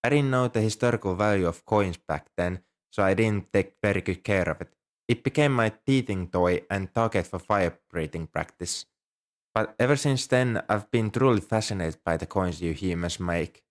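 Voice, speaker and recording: A man's voice: deep voice, normal speed, natural voice. A man playing an ancient, polite, nerdy dragon who collects coins, speaking earnestly and a little primly to the listener in an ASMR roleplay. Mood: proud, fond. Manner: polite, earnest, slightly prim and scholarly. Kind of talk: ASMR roleplay, one-sided dialogue with the listener.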